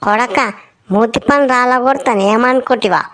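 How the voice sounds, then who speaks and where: High-pitched scolding cartoon villager voiced by a woman. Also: moderate pace, fairly bright tone, lightly acted. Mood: angry. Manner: scolding, confrontational, colloquial insults. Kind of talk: amateur cartoon comedy dialogue in Telugu.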